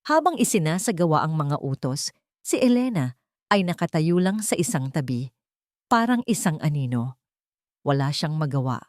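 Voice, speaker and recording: A woman narrating a scripted audio drama in a measured, dramatic storytelling manner. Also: low voice, sing-song, darkish tone, no echo, studio quality.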